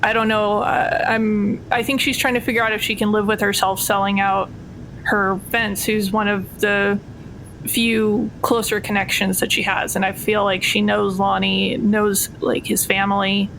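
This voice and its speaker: Casual woman game player with medium pitch. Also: flat delivery, measured pace, gravelly, a bit bright, poor audio. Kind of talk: casual chat. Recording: faint background noise.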